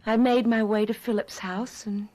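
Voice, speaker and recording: An actress playing an earnest, world-weary immortal young woman in a radio drama, speaking in a deliberate, dramatic way. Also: fairly high pitch, little pitch movement, a little mellow, lightly acted. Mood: wistful, recounting.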